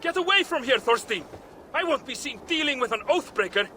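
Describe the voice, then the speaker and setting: Indignant male Viking merchant, very high, piercingly bright voice, wary and hostile. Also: animated, a little fast, full character voice. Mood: outraged. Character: outraged, wary Viking merchant shunning a partner. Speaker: video game character. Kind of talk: dramatic game cutscene acting. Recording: faint background noise.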